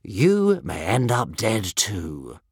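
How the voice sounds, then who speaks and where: Guy playing a big smug dragon in a kids book, drags his words out slow, loves bad news. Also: on the low side, wildly swooping pitch, a little mellow, slightly rough, dry recording, clean studio sound, heavily acted. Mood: gloomy relish. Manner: slow, drawling, mocking. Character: big sardonic gloating male sabre-toothed dragon.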